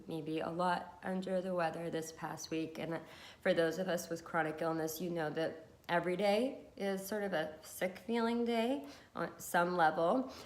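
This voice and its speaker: Congested, slightly low-voiced woman vlogger; chatty and encouraging, lots of ums. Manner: chatty, encouraging, many fillers. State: congested from a cold. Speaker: beauty vlogger and hypnotherapist. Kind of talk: casual talk to camera.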